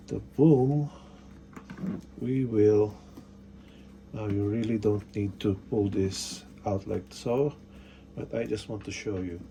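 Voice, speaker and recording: A man's voice: lowish voice, mellow. A man casually narrating a hands-on installation tutorial, step by step in short instructional phrases. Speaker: product installation demonstrator. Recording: faint background noise.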